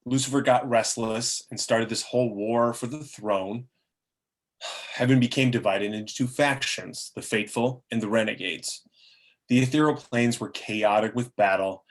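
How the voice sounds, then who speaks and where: A man's voice: mid-range voice, natural voice. A man acts out a scheming demon with a mid-range male voice. He delivers grandiose, self-justifying villain speeches with bitter theatricality, in the loose style of a table read. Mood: grave, narrating. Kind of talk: amateur table reading of a film script.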